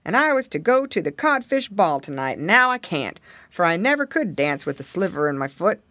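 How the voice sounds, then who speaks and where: Woman voicing a big, fussy, kindly elephant, very expressive. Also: fairly low pitch, fairly quick, no echo. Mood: flustered, complaining. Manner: exclamatory, emphatic. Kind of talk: children's storybook reading.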